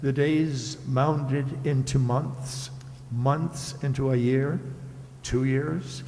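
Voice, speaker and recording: A man's voice: medium pitch, steady tone, very slow, darkish tone. A man narrating a fairy tale as the storyteller of a scripted children's radio play. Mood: storytelling. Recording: reverberant hall with faint noise.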